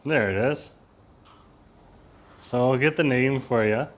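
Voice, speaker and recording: A man casually chatting on a live stream from a Vietnamese restaurant, talking easily, reading chat messages aloud and laughing often. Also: slow. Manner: says he has a loud voice and lowers it. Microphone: phone.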